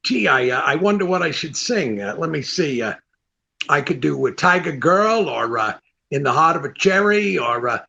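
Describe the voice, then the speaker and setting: A man playing a vain, oblivious comedian husband in 1940s radio comedy style, gravelly and hesitant. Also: on the high side, lively intonation, normal speed, pushed and bright, lo-fi sound, exaggerated voice. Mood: eager, dithering. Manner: hesitant, muttering, self-satisfied. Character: vain, oblivious old-time comedian husband who fancies himself singer.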